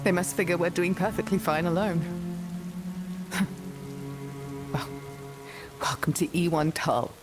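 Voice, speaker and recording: An actress playing a wary, bitter middle-aged village aunt in a video game, blunt and sardonic. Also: female voice, on the low side, fairly even intonation, measured pace, long pauses, close to an everyday voice. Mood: wry. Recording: game music over voice.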